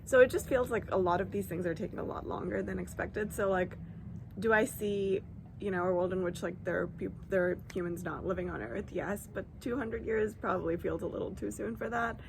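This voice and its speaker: A woman's voice: medium pitch, fairly even intonation, a little fast, gravelly, background noise. A woman in her late twenties who grew up in Dubai, chatting as an interview guest, with many filler "likes" and ums and easy laughter. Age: 20s. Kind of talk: casual conversation. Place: lives in San Francisco.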